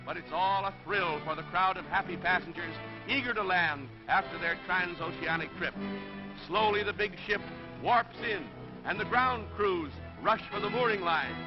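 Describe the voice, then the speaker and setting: A man with a high voice narrates a vintage 1930s newsreel. His scripted delivery is dramatic and grave. The soundtrack is dull and dated, with music clearly playing behind him.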